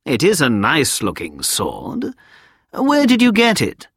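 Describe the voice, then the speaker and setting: A man voicing a proud, excitable young knight in a storybook reading, speaking eagerly and emphatically. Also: fairly high pitch, unhurried, breathy edge, no room sound, studio quality, pitched above the performer's own voice, exaggerated voice.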